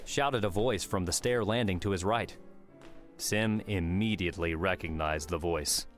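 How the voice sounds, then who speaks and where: A man's voice: sits low, a little slow. A man reading scripted audiobook narration as the narrator of a novel. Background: soft music.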